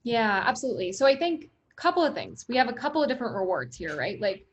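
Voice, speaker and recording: A woman with a loud voice, speaking as a company guest in an interview, upbeat, laughing often and leaning on "right?" and "you know.". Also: fairly high pitch, sing-song, fast talker, highs cut off, slight background noise. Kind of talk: conversational interview.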